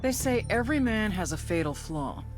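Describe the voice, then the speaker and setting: An actress voicing a cynical, tough intelligence agent, narrating video game cutscenes in a blunt, sardonic way. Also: female voice, mid-range voice, expressive, normal speed, over music, natural voice. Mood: wry storytelling. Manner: sardonic, blunt, foul-mouthed. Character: cynical, tough female intelligence agent briefing on cartel targets.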